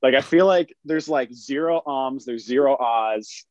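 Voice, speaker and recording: A man's voice: mid-range voice, unhurried, no echo. A young man hosting a podcast, casual and enthusiastic, with lots of likes and fillers. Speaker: podcast host. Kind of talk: casual interview chat. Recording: dull, dry podcast audio.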